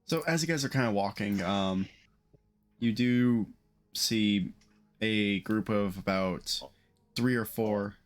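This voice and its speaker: Low-voiced man running a tabletop game. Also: slow pace, pauses often. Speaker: game master. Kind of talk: casual improvised tabletop game narration. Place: online group call, streamed live.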